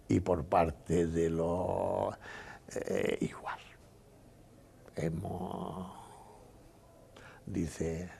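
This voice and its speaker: An older man with a very low, gravelly voice and a husky edge, speaking slowly. He rambles through his answers in a casual interview, often quoting himself and others. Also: a little mellow. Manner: rambling, quotes himself with repeated "dice". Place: exhibition hall.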